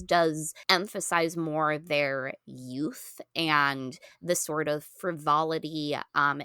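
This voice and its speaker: A woman's voice: sits low, creaky, sharp tone, no echo, studio quality. A woman on a podcast panel talking through a film in an articulate, analytical way. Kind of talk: thoughtful film discussion.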